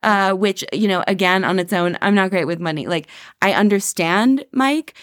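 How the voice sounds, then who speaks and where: A woman co-hosting a book podcast, speaking at a middle pitch. She talks frankly and jokes with a profane edge. The recording is close and dry. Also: fairly bright tone, dry recording. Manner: frank, joking, profane, fast asides. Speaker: podcast co-host, writer. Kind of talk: casual book-club podcast chat.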